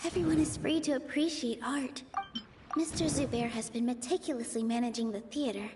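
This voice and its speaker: An actress plays a young female dancer from a video game with a high, clear-hearted voice. She speaks sincerely and with measured conviction, defending her theater. Faint noise sits behind her. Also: lightly acted. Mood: soft, resolute.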